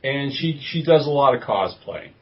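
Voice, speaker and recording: A man chatting casually as a podcast co-host, with a husky, rough voice. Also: medium pitch, lively intonation, moderate pace, a little hiss or hum. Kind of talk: casual chat. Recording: muffled lo-fi voice link.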